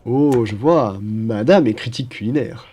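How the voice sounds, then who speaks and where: A man's voice: mid-range voice, unhurried, warm tone, a little hiss or hum, lightly acted. A man playing a playful, chatty young roommate. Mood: friendly, joking. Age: young adult. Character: cheerful, teasing young male student roommate, aspiring chef. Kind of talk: ASMR audio roleplay, casual one-sided chat.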